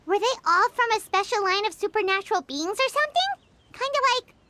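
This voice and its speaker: Tiny chirpy game sidekick fairy, extremely high, swooping, childlike and eager. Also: female voice, lively intonation, a little fast, noisy background. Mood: curious, eager. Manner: refers to self in third person, exclaiming, eager. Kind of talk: animated video game voice acting.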